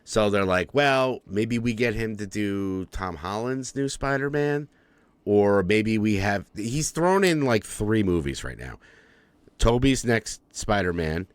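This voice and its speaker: A man chatting on a movie podcast with a lively, rising-and-falling delivery and a somewhat low voice. He rambles, restarts his sentences and swears freely. The sound is dry and close. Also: takes it slowly, smooth, dry recording. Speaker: podcast co-host. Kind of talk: casual movie chat.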